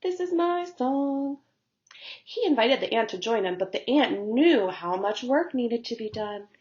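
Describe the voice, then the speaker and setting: A woman narrating a story for young children as a teacher would, in simple, deliberate phrasing. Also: fairly high pitch, lively intonation, darkish tone, dull audio.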